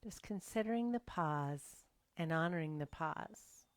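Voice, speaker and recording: A woman leading a gentle yoga and breathing class, speaking softly and sometimes dropping to a whisper. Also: sits low, lively intonation, dry recording. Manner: slow, soft-spoken and gentle, drifting into whispers. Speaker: yoga instructor.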